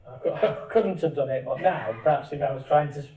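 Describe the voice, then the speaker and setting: A man's voice: fairly high pitch, quick pace, a crowd in the background. A man co-hosting a family podcast, asking long questions that restart as he goes. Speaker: podcast co-host, father. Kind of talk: casual family podcast chat. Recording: dull, echoey, distant mic.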